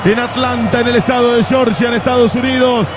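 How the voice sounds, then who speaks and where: A man with an Argentine accent calling a live football broadcast on radio in a loud, emphatic voice. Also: very high-pitched, steady tone, brisk, very noisy. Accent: Argentine Spanish. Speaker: radio play-by-play host. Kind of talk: live sports radio commentary. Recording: loud music and crowd behind.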